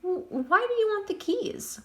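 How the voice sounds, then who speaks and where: A woman voicing a thin, cowardly male deputy with a whiny, high-pitched voice. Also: very animated, measured pace, pushed and bright, full character voice. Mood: pleading. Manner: whiny, panicky, pleading.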